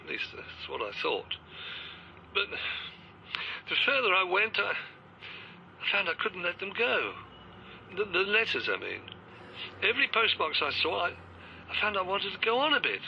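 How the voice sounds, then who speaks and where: A man's voice: sits high, sing-song, normal speed, vocal fry, pauses often, exaggerated voice. An actor playing a hesitant, apologetic older Englishman who stammers as he explains himself in a radio drama. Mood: earnest. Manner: apologetic, mild, rambling. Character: timid older man, retired, set out walking. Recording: dull lo-fi audio with faint background noise.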